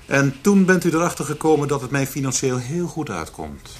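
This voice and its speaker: A man's voice: mid-range voice, big pitch swings, brisk, close to an everyday voice. An actor playing a defensive, sardonic, self-possessed businessman in a radio drama. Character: defensive, sardonic businessman under police questioning. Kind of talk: Dutch radio drama acting. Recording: faint background noise.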